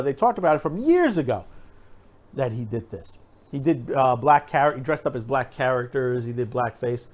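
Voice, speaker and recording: A man's voice: fairly high pitch, darkish tone. A man hosting an opinion video, talking casually and emphatically to viewers in a rambling, repetitive way. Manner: rambling, repeats himself, emphatic. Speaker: host of an opinion video channel.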